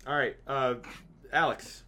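Bright-voiced young man hosting a podcast. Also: medium pitch, fairly even intonation, slow. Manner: joking, teasing. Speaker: podcast host. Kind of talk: casual chat.

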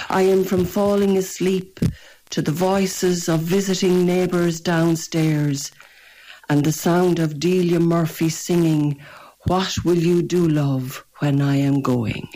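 An older Irish woman, a poet, reading her poems in a measured, gentle way and answering interview questions. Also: lowish voice, low-quality recording. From rural northwest Ireland. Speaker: poet and guest. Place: radio studio or phone line.

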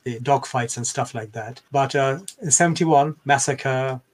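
Older man from Bangladesh, mid-pitched, explanatory. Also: unhurried. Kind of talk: reflective interview talk.

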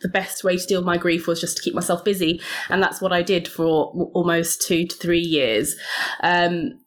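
A woman, a podcast guest, speaks reflectively and hesitantly, with many pauses and fillers. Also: on the low side, fairly even intonation. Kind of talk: reflective personal interview.

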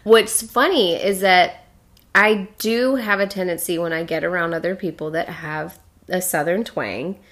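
A woman with a Chicago accent, co-hosting a podcast in casual chat, laughing often and getting animated. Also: on the low side. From born in Louisville, Kentucky. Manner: giggly, animated, quick to laugh. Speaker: podcast co-host.

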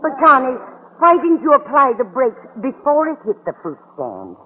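A woman's voice: sits high, huge pitch swings, normal speed. An actress playing a sweet, scatterbrained older landlady in an old radio sitcom, kindly and innocently literal. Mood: innocently puzzled. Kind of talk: 1940s-50s radio sitcom acting. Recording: noisy old radio broadcast, phone-like band.

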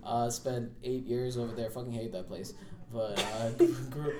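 A man's voice: mid-range voice, steady tone, unhurried, dark tone. A young man from California and India, a college student, chatting casually as a podcast guest and swearing freely. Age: young adult. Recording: roomy echo, clear background noise.

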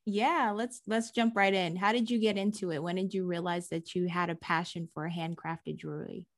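A woman's voice: lowish voice, fairly even intonation, normal speed. Upbeat woman podcast host. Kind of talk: podcast interview.

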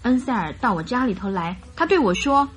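High-voiced earnest young bride-to-be played by a woman. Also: lively intonation, a little fast, lightly acted. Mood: earnest recounting. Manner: hesitant, chatty, naive storytelling. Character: earnest young bride-to-be recounting her missing fiancé. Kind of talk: radio drama acting.

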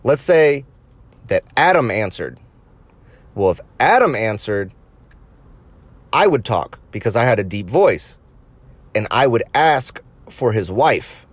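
A man's voice: medium pitch, big pitch swings, slow, long pauses, no echo. Casual, crude-talking male podcaster. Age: late 20s to early 30s. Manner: crude, swearing, amused by own stories. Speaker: podcast host. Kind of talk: casual anecdotal storytelling to listeners.

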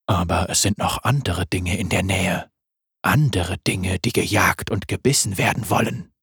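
A man voicing a hungry, playful male wolf spirit, speaking low, slow and growling. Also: fairly bright tone, husky, no echo, studio quality, heavily acted.

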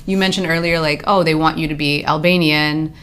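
A woman with a somewhat low voice hosts a relaxed conversation. She asks long, curious questions, padded with casual fillers. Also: fairly quick, slight background noise. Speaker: podcast host.

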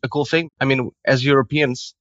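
A man's voice: mid-range voice, steady tone, normal speed, a bit bright, dry recording. A slightly dull, lo-fi recording of a man with a Portuguese accent and a mid-range voice. He answers as a guest in a conversational interview, with hardly any room sound around him. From Lisbon, Portugal. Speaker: guest, video game character artist.

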